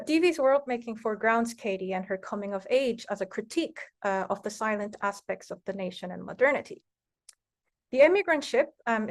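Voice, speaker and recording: A woman giving a scripted academic conference presentation in a measured, formal way. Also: mid-range voice.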